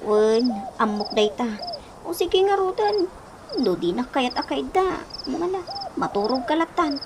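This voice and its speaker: A woman's voice: high-pitched, sing-song, unhurried. A woman with an Ilocano accent acting an emotional young wife in a radio drama. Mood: resigned. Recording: noisy radio drama recording.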